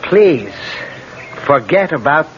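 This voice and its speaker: An actor playing a disapproving gentleman rival with a dry, formal, measured manner in a radio drama. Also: fairly high pitch, big pitch swings. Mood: earnest warning. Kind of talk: 1970s radio drama acting. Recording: dull, noisy old radio broadcast.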